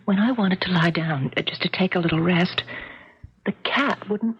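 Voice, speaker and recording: A woman acting in an American 1970s radio drama as a tender, curious visitor with a breathy voice and hesitant, gentle questions. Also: fairly low pitch, moderate pace, lo-fi sound.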